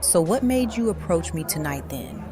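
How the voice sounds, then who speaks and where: An actress playing a guarded, thoughtful, reserved woman with a measured manner in an audio drama. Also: fairly low pitch, animated, lightly acted. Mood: tentative. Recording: crowd chatter behind.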